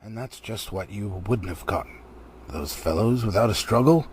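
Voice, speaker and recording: A man voicing a brisk old wizard in a storybook, scolding and commanding. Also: on the low side, animated, a little hiss or hum, natural voice. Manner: admonishing, commanding.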